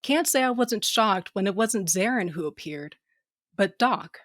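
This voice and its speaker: A woman's voice: mid-range voice, animated, measured pace, no echo, clean studio sound, natural voice. A woman playing a blunt, sardonic female starship captain who narrates her own science fiction audio drama in slangy language. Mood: wry narration. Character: tough, sardonic, foul-mouthed female ship captain with a cybernetic eye. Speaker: narrator and lead character. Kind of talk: first-person audio drama narration and dialogue.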